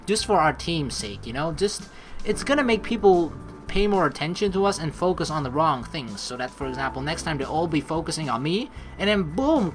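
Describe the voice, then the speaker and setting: A let's play host who chats casually and expressively while playing, and reads game narration aloud. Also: mid-range voice. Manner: expressive, chatty, swears casually. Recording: game music under the voice. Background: game music.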